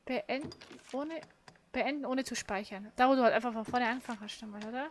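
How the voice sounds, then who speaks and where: A woman's voice: a little high, fast, slight background noise. A woman co-hosting a gaming livestream in casual chat, commenting on the play. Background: game music.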